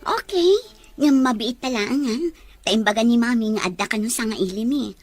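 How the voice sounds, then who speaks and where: A woman's voice: on the high side, normal speed, darkish tone, faint music behind, lightly acted. A woman playing a sweet young girlfriend in a romantic melodrama. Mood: sweet, casual. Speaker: actor. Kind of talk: melodrama dialogue.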